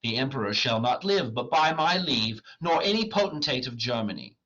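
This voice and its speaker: A man's voice: medium pitch, expressive, normal speed, bright, forceful tone, highs cut off, voice pushed up. A man voicing a proud, doomed scholar-conjurer in an Elizabethan tragedy, grand and declamatory. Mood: boastful.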